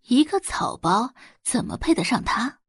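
Female audiobook narrator for dramatic fiction, animated storytelling delivery with a slightly husky tone. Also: on the high side, a little slow, no room sound. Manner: expressive storytelling.

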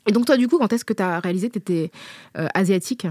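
A woman's voice: medium pitch, expressive, quick pace, darkish tone, no room sound, studio quality. A young adult woman from the Paris area, chatting casually and thoughtfully with frequent fillers and restarts. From Paris area, France. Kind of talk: casual conversational chat.

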